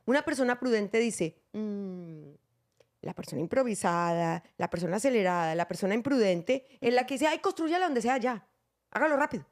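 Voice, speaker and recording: A woman's voice: mid-range voice, lively intonation, moderate pace. Earnest woman explaining Bible teaching. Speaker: host.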